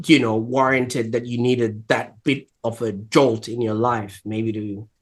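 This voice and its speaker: A man hosting an interview show, measured and encouraging as he sums up his guest's story. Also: mid-range voice, level tone. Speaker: podcast host.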